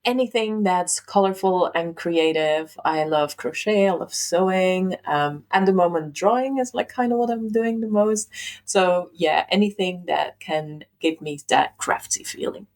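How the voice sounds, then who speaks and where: A young woman from Belgium co-hosting a podcast, speaking softly in casual chat with frequent ums and likes. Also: on the low side, unhurried. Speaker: podcast co-host.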